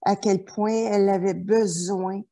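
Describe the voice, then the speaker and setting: Woman therapist, warm relaxed tone, halting, full of fillers. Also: medium pitch. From New Brunswick, Canada. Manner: hesitant, many fillers and restarts. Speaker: therapist guest. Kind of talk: interview, life story.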